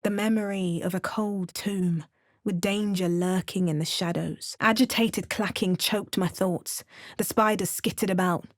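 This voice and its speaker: A woman's voice: mid-range voice, fairly even intonation, a little slow, natural voice. Actress as a young woman adventurer for a fantasy game, dry wit, wry remarks. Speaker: player character adventurer. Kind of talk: game voice lines.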